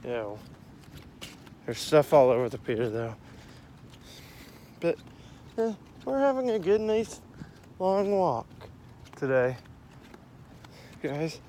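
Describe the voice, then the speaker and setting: A man's voice: mid-range voice, a little mellow. A young man casually rambling to viewers as he walks outside with a handheld phone, narrating what he sees and often repeating himself. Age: young adult. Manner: repetitive, unhurried narration of surroundings. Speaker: amateur vlogger. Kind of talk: casual rambling walk-along vlog. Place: outdoors, walking along a street and pier trail. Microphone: handheld phone at arm's length. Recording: noisy outdoor phone recording with traffic.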